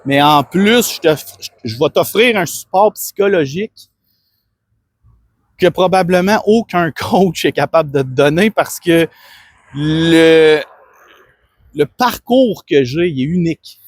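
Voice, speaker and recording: Québécois guy coaching pitch, kinda husky, a bit high, dry close sound. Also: lively intonation, slow, long pauses, no room sound. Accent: Québécois French. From Quebec. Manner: rambling, earnest, many restarts and fillers. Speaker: fitness coach. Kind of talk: casual self-promotional monologue.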